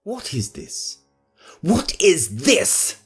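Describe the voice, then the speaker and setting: A man's voice: fairly high pitch, huge pitch swings, drawn-out words, husky, soft background music, heavily acted. An actor playing a cowardly, greedy middle-aged male noble who frets and stammers, then breaks into panicked pleading in a fantasy audio drama. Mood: alarmed.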